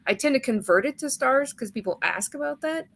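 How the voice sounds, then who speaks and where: A woman's voice: sits high, lively intonation, a little fast, creaky, a bit bright. A woman chatting thoughtfully about book ratings on a video call, hedging as she goes. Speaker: book channel guest. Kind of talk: casual group chat on video call.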